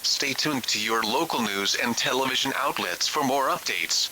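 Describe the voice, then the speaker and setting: A man's voice: medium pitch, moderate pace, background noise. A man reading a scripted emergency alert as a broadcast announcer.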